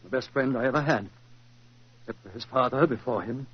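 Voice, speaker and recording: An actor in a 1940s radio drama playing an anxious, self-doubting stage actor, earnest and theatrical in manner. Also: male voice, mid-range voice, a little fast, slightly rough, natural voice. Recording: dull, muffled 1940s radio recording.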